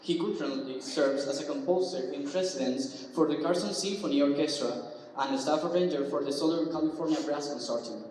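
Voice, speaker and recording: A man's voice: a little high, darkish tone, slight background noise. A young man from Cuba, a student, reading a formal introduction at a band concert. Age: young adult. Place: large concert hall. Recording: echoey hall from far off.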